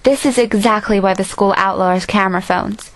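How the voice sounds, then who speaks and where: A woman's voice: mid-range voice, steady tone, moderate pace, no echo, natural voice, rough recording. A young woman voicing a sassy, blunt teenage best friend in an amateur audition. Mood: indignant, scheming. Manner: snarky, blunt. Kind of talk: amateur audition line reading.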